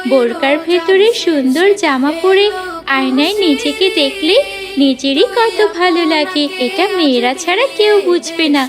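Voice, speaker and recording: A kind, earnest young girl in a cartoon, as a woman voices her, speaking in a very high, sweet voice. She sounds sincere and gentle. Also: normal speed, music in the background, lightly acted.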